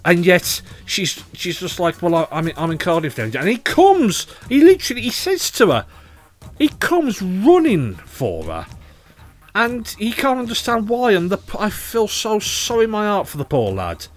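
A man co-hosting a podcast in casual chat, animated and opinionated, quick to joke. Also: high voice. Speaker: podcast co-host.

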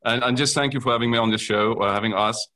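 A man's voice: mid-range voice, steady tone, fast talker, bright, forceful tone. A man with a South African accent, a company founder, explaining his business as a podcast guest in an earnest way, with many ums. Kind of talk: podcast interview.

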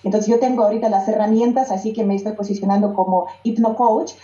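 A woman's voice: medium pitch, fairly even intonation, quick pace, warm tone. A woman with a Russian accent, a native Russian speaker, who is a singer and music teacher and speaks hesitantly, often restarting and searching for words. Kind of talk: interview conversation. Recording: roomy echo, dull lo-fi sound.

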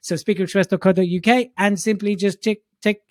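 An upbeat male co-host with a fairly high voice that sounds dry and close. He summarizes speaking tips with affectionate warmth toward his partner. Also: fairly even intonation, a little fast, no echo. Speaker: podcast co-host. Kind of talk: motivational talk show hosting.

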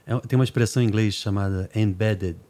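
A man's voice: fairly low pitch, fairly even intonation, quick pace, mellow. A man interviewing a guest in a chatty, rambling way, often checking in with tag questions. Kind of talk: interview conversation.

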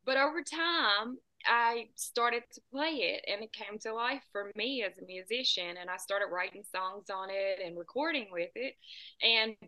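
A woman's voice: fairly high pitch, moderate pace, rough recording. A woman with a Southern US accent, a singer-songwriter telling her story in an interview in an earnest, storytelling way. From Southern US, about two hours south of Nashville. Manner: warm storytelling, earnest and inspirational. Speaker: guest, singer-songwriter and children's author. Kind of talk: interview answers.

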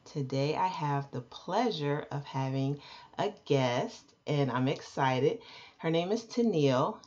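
A woman's voice: low voice, expressive, takes it slowly, a little mellow. A woman hosting a podcast, chatting with a friendly, upbeat manner as she welcomes and interviews a guest. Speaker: podcast host. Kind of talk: casual interview chat. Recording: dull, lo-fi podcast audio.

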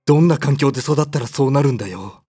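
A man playing a timid, cowardly young mortal hero in a comedic audio drama, polite but whiny, flustered and quick to protest. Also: medium pitch, mellow, husky, lightly acted. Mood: exasperated.

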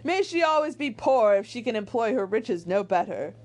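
A woman voicing an ardent, impulsive young gentleman suitor from a period novel, eager and declamatory in formal language. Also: on the high side, very animated, normal speed. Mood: vehement, eager.

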